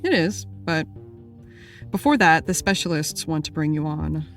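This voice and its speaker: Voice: mid-range voice, expressive, moderate pace, a bit bright, soft background music. An actor playing a breezy, dry-witted podcast producer who talks in a blunt, offhand way. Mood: teasing, blunt. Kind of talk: scripted casual chat.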